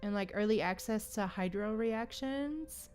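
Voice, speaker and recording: A woman's voice: medium pitch, unhurried, darkish tone, faint music behind. A woman livestreaming a game, chatting casually and musing aloud in an informal, slangy way. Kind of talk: casual live stream chat and commentary.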